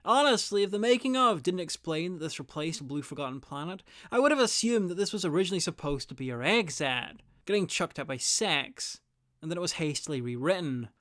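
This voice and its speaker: A man's voice: on the high side, animated, fairly quick, fairly bright tone. A man narrating a scripted review in an opinionated, wry manner. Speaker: reviewer presenting an audio drama guide.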